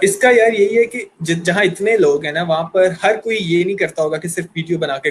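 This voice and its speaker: A man's voice: fairly high pitch, slight background noise. A man with a Pakistani Urdu accent, a guest on an online discussion, explaining his points with examples over a video call. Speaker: guest, YouTuber and anchor.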